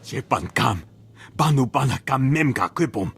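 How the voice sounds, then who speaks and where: A male actor playing a fidgety alien captive in a video game, babbling in a husky voice in an invented tongue. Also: medium pitch, animated, moderate pace, loud music behind the voice. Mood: nervous, insistent. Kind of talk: video game cutscene acting.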